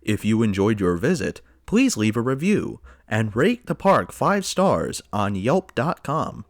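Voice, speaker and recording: A man's voice: fairly low pitch, sing-song, a little slow, no echo. A man voicing a pushy automated park announcer in an audio drama, with a scripted, salesy delivery. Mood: cheery, then indifferent. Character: robotic public announcer, pushy park machine.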